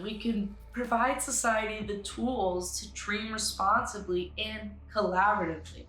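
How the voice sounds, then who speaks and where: Woman, mid-pitched, articulate, earnest, scripted project pitch, echoey room, faint music bed. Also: a bit bright. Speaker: animator and writer pitching a short film. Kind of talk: scripted pitch presentation to camera, with light asides. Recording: roomy echo with music behind.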